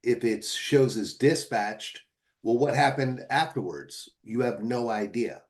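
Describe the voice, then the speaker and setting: A man giving sales advice on a training call in a measured, explanatory way. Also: mid-range voice.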